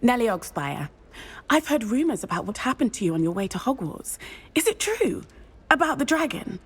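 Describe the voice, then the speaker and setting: An actress voicing a daring, excitable teenage witch in a video game, with a breezy British way of speaking. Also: fairly high pitch, moderate pace, breathy edge. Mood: breezy, thrilled. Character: bold, excitable teenage witch schoolgirl, daring thrill-seeker. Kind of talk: scripted video game dialogue.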